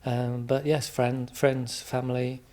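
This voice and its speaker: Englishman as interview guest: informal, thoughtful, halting delivery with repeated words. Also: medium pitch, little pitch movement, darkish tone. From England, Midlands. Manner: hesitant, reflective, repeats words as he explains.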